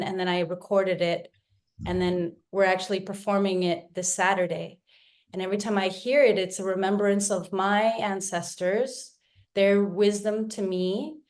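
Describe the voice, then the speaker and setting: Tagalog-native woman on a video call, calm slow meditation guide, mid pitch, lots of pauses. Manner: slow, soft and reflective, with pauses and restarts. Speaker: co-facilitator of a spiritual gathering.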